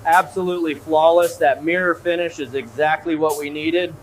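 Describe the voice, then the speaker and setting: A man's voice: a little high, fairly even intonation, moderate pace, background noise. A man giving scripted process commentary in terse, approving remarks. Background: background music.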